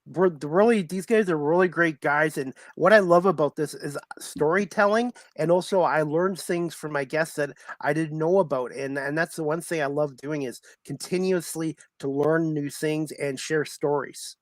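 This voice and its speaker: Guy hosting a sports podcast, voice a bit high, dry close sound, kinda hesitant and repeats himself. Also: normal speed, hardly any pauses, no room sound. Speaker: sports talk show host. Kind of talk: casual sports podcast interview.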